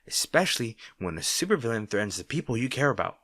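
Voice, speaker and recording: A man playing a snarky supervillain rival who secretly cares, in a dramatic roleplay monologue, teasing and sardonic, halting when flustered. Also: medium pitch, very animated, fairly bright tone, dry recording, clean studio sound. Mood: flustered, disbelieving. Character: snarky male supervillain rival, secretly worried about his hero.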